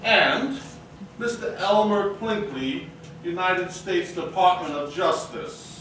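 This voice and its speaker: An actor playing a stern, officious moral crusader on stage, pompous and commanding. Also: male voice, high-pitched, animated, slow pace. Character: stern, officious male anti-vice crusader. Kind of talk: stage acting in a staged reading. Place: small theater, live staged reading. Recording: echoey theater, distant mic, noisy.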